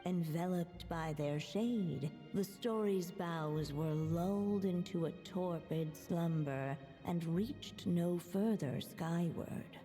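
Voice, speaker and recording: A woman's voice: lowish voice, takes it slowly, hardly any pauses, warm tone. A woman playing a solemn storybook narrator, telling a fairy tale in a video game. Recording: long hall reverb, light game music.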